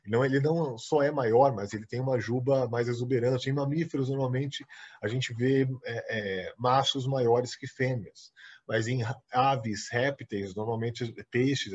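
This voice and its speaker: Man, Brazilian accent, online lecturer, halting restarts, husky voice, dull call audio. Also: mid-range voice, a little mellow. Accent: Brazilian Portuguese. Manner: halting, many repeated words and restarts; explains with frequent "né?" tags. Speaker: guest lecturer. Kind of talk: online lecture over slides. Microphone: video call.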